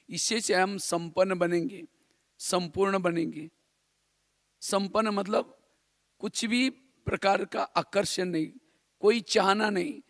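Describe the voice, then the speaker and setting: A man's voice: high-pitched, a bit bright, no room sound, studio quality. A man from India giving a spiritual class in a didactic way, repeating phrases and posing rhetorical questions. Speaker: spiritual teacher.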